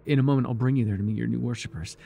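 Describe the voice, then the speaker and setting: A man playing a devoted, obsessive cult servant and captor in an intimate ASMR roleplay, speaking reverently and haltingly, with sighs and stammers. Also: mid-range voice, vocal fry, mellow, slight background noise, natural voice. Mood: coaxing, apologetic.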